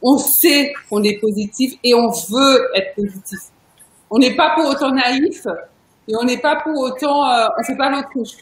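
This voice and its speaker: A chatty woman hosts a relaxed interview, speaking with emphasis and a ready laugh. Her voice sits high, and the room around her rings with echo. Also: unhurried. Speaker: interview host. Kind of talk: casual video interview chat.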